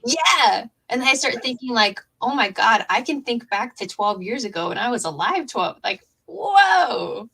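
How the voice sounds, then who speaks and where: A young woman hosting a podcast, chatting casually with quick, bubbly reactions. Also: fairly high pitch, big pitch swings, gravelly, a bit bright, husky, rough recording. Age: young adult. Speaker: podcast host.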